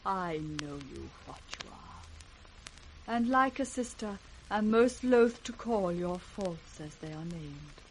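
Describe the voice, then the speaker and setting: Slow, grave princess. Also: female voice, medium pitch, lively intonation, slight background noise, poor audio. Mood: sad, steadfast. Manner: measured, grave, formal. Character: sincere, dignified princess, wronged youngest daughter. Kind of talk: 1960s classical radio drama acting.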